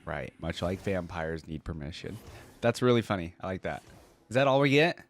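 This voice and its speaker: Low-voiced man giving casual reactions. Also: animated, normal speed, slight background noise. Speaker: reaction video host.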